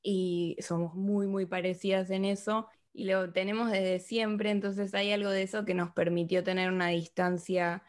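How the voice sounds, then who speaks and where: A woman's voice: medium pitch, little pitch movement, moderate pace, few pauses. A woman, hosting a podcast and talking in a reflective, thoughtful way. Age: 30s. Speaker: podcast host. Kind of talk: casual interview chat.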